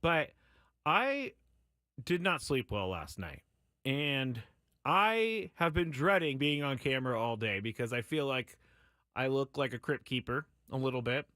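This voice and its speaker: A man's voice: mid-range voice, big pitch swings, unhurried, bright, forceful tone, no echo. A man hosting a sports talk radio show, speaking in a casual, candid, self-deprecating monologue. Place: radio studio.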